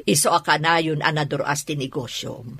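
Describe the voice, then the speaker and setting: A woman's voice: low voice, fairly even intonation, moderate pace, fairly bright tone, breathy edge, exaggerated voice. An actress with a Filipino Ilocano accent playing an earnest, grateful woman in a radio comedy-drama.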